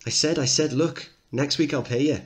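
A man's voice: on the high side, moderate pace, pitched above the performer's own voice, lightly acted. A man voicing a pleading, harried working-class mother in a dramatic play reading. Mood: pleading, desperate. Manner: insistent, pleading.

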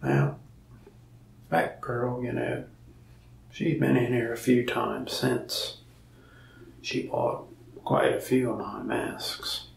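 A young man playing a lonely, friendly mask shop salesman in a soft-spoken ASMR roleplay, talking slowly and often slipping into a whisper. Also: medium pitch, fairly even intonation, echoey room, far from the mic, low-quality recording. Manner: slow, soft-spoken, gravelly with frequent whispered stretches. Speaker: ASMR creator. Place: small indoor room, mask wall behind.

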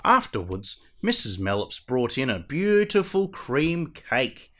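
A man playing a costumed superhero who reads a children's storybook aloud in a theatrical, deliberate way. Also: mid-range voice, sing-song, bright, forceful tone, exaggerated voice. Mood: animated storytelling. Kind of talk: animated picture-book read-aloud.

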